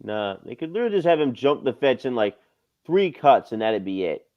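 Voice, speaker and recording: A man's voice: mid-range voice, sing-song, fairly quick, muffled recording. A man chatting casually as a co-host on a stream, commenting on a video. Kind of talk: casual chat reacting to a video.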